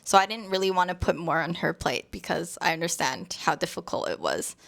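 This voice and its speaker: Soft-spoken young woman, gravelly low voice. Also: brisk. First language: Cantonese. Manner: soft, hesitant storytelling with many fillers. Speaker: co-host. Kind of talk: casual chat.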